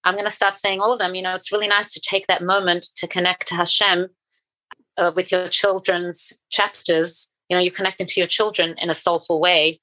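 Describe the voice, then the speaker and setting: A woman's voice: lowish voice, little pitch movement, fairly quick, sharp tone, dry recording, low-quality recording. A middle-aged woman co-hosting a podcast, talking earnestly and with enthusiasm in casual conversation. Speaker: podcast co-host.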